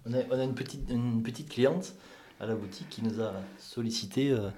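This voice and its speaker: Male radio guest, quick pace, mid-pitched, casual chat, slightly distant from the mic. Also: dark tone, slightly rough. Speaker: radio guest, artisan baker.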